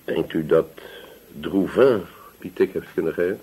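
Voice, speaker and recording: Deep, husky village police sergeant. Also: male voice, unhurried, dark tone, exaggerated voice, poor audio. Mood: confiding. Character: obliging, gossipy male village police sergeant. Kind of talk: radio drama acting.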